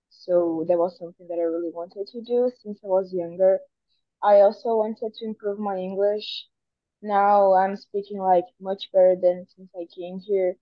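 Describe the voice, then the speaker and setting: A woman's voice: lowish voice, dry recording, highs cut off. A young woman from Brazil with a Brazilian Portuguese accent, an exchange student giving casual interview answers. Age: teens to young adult.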